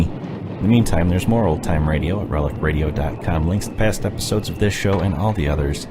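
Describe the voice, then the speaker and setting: Podcast host: man, low voice, casual outro read, music clearly behind.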